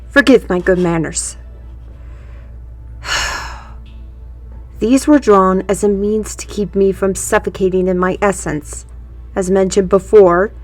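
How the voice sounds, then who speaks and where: A woman's voice: mid-range voice, darkish tone, natural voice. A woman playing a defiant, sardonic young artist with magical powers in a fantasy audio drama, speaking formally and pointedly.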